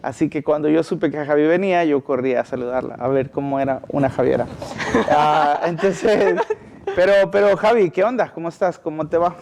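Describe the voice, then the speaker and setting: A man's voice: a little high, vocal fry, a little mellow, slight background noise. Playful male podcast co-host. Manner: jokey, playful, quick to laugh. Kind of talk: casual podcast chat and prayer.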